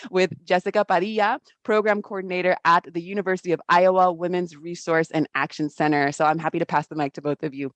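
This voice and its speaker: Host for a virtual panel, non-native English, friendly and slightly halting, dry close sound. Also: medium pitch, steady tone, fairly bright tone, dry recording. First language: not English. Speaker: event host. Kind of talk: introducing speakers.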